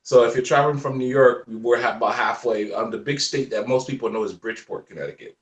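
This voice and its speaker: A man in his forties from Haiti with a light Haitian accent, chatting as a guest in a relaxed, rambling way. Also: mid-range voice, fast, roomy sound, distant mic. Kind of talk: casual chat.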